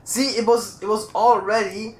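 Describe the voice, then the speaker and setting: A man with a notably high voice co-hosts a casual let's play. He is chatty and tends to explain things as the game goes on. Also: flat delivery, normal speed, low-quality recording. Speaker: gaming channel co-host.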